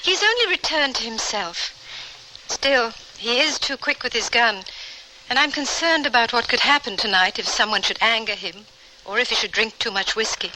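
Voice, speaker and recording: A woman's voice: a little high, moderate pace, bright, forceful tone. An actress playing a polite, composed lady traveler of the Old West in an old-time radio drama. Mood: earnest, concerned. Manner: polite, proper, earnest. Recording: noisy old radio broadcast recording.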